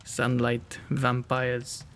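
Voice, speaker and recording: A man voicing a kind, courteous gentleman vampire in a soothing ASMR roleplay, gentle and tender, often slipping into a whisper. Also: mid-range voice, monotone, very slow.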